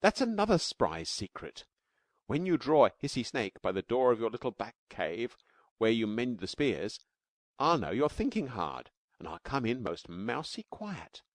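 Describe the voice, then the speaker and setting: A man voicing an eager, chattering little cave girl with a high, squeaky voice. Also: no room sound, natural voice.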